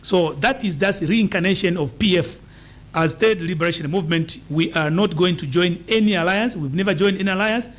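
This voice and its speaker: A man with a notably high-pitched voice speaks as a political guest. He talks in an emphatic, campaigning way, hammering home his points and repeating them for stress. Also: fairly quick. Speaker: political party leader as studio guest. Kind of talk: political interview answers.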